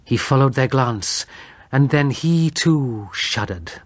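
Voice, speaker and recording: A male audiobook narrator delivers gothic horror in a grave, suspenseful manner. His voice is husky and breathy, and he takes his time over each phrase. The sound is dry, without any room echo. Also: medium pitch, animated, slow pace.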